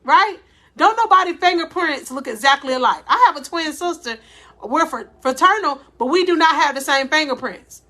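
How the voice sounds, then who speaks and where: High, bright-voiced woman life coach. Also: expressive. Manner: loud voice by her own account; deliberately slowed, addresses listeners as y'all. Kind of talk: faith-based motivational talk.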